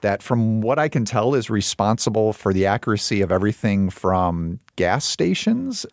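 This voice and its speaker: A man's voice: fairly low pitch, sing-song, moderate pace, creaky, no echo. A man co-hosting a film review podcast, reading a listener's letter and reviewing in casual chat.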